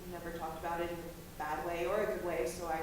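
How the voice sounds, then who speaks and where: A young woman playing a guarded, anxious grown daughter who talks in halting, hesitant bursts with her mother. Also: fairly low pitch, flat delivery, lightly acted. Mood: quiet. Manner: hesitant, halting, then heated. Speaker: college student. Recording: echoey room, distant mic, noisy ambience.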